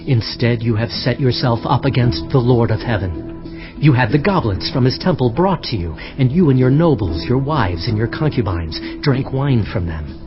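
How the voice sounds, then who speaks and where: An actor playing a solemn prophet rebuking a proud king, speaking gravely and deliberately in a dramatized scripture reading. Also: medium pitch, animated, lightly acted. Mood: stern reproach. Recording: muffled sound with music underneath.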